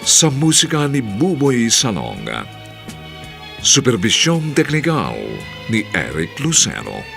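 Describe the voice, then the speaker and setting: A Filipino man announces a radio drama with theatrical flair. His slightly low, gravelly voice swoops dramatically in pitch. The scripted narration rides over music. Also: wildly swooping pitch, takes it slowly.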